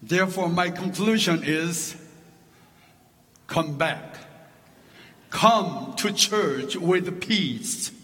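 A man with a Korean accent preaches a sermon from the pulpit, his first language being Korean. His voice sits high and he takes his time, building his points through deliberate lists. The sound carries the long reverb of a church sanctuary. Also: sharp tone. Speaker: pastor.

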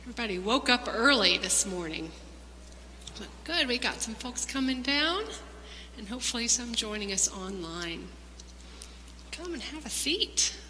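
A woman with a high, bright voice gives a children's talk in a church. Her phrasing is simple and conversational. The hall rings with long reverb and there is some background noise. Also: lively intonation, normal speed, pauses often. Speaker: church children's minister leading children's moment. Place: large church sanctuary.

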